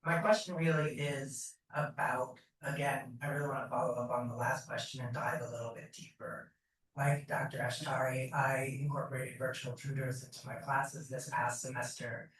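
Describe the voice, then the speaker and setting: A man in the middle range of pitch asks an earnest, searching question, stopping to restart his phrases. He sounds far from the mic, in a booming, echoey hall. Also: level tone, moderate pace, fairly bright tone. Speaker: audience member asking a question, educator. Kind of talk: panel Q&A question. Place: large event hall.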